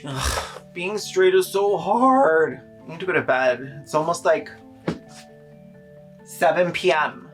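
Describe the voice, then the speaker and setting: A video essayist playing an absurdly devout, self-pitying straight man in a deadpan, mock-earnest comic parody. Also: mid-range voice, takes it slowly, soft background music. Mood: mock weary.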